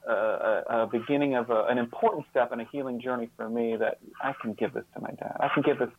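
A fifty-year-old man speaking as an interview guest, reflective and earnest, often halting and repeating words as he thinks. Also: mid-range voice, steady tone, phone-quality sound, a little hiss or hum.

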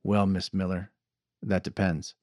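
Western audiobook wagon driver, male reader: deep-set, low-key, wry, unhurried drawl, close dry recording. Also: level tone, darkish tone, no room sound. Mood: wry, unbothered.

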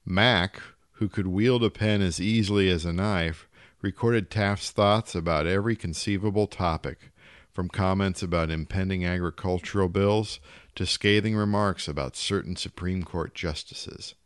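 Guy reading a short story, deep voice, dry close studio sound. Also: normal speed, clean tone, dry recording. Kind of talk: literary short story narration.

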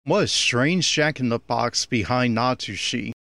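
A man with a medium-pitched voice records an audio commentary on an anime. He speaks as an opinionated critic, and the sound is dry, with very little room to it. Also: a little slow, sharp tone, no echo.

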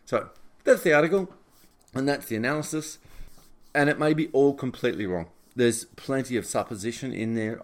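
A man giving informal solo political commentary in a deliberate, emphatic and opinionated way. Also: lowish voice, gravelly. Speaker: podcast host and writer summarizing own article.

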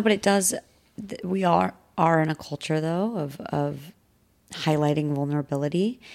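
A woman's voice: low voice, darkish tone. A woman in her thirties, a podcast guest talking reflectively and earnestly in casual conversation. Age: 30s. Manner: reflective, earnest, talks through thoughts with restarts.

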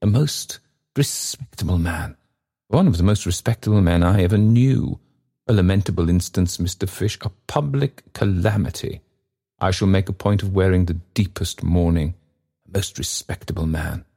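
Guy doing a self-important pious alderman, big swelling speeches, mellow and lordly, storybook reading. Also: deep voice, normal speed, breathy edge. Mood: mournful, pious. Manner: grandiose, oratorical, exclamatory. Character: pompous, pious, sanctimonious alderman, self-important city official.

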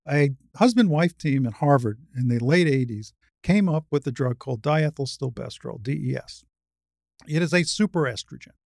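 Woman, 60s, low-set and creaky voice, dry close recording, emphatic explainer in a conversational interview. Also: a little mellow, no room sound. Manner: emphatic, didactic, explains step by step. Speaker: interview guest, retired surgeon and advocate.

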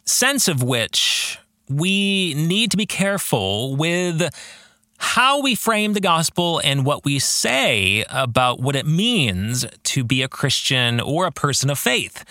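A man's voice: a little high, pushed and bright, dry recording, studio quality. A man hosting a podcast, giving reflective religious commentary on his book in an earnest, preacherly way with emphatic phrasing. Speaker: podcast host and author discussing his own novel.

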